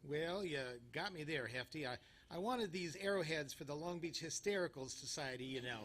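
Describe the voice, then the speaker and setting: An actor playing a hapless, griping urban cowboy with western talk in a live radio comedy sketch. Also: male voice, a little high, animated, normal speed, a bit bright. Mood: sheepish, folksy. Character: Rusty, grumbling hapless male urban cowboy.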